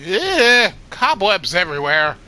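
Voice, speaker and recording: A man hosting a let's play, talking excitedly with many fillers and restarts, his nose a little stuffy. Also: high voice, slow. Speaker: gaming channel host.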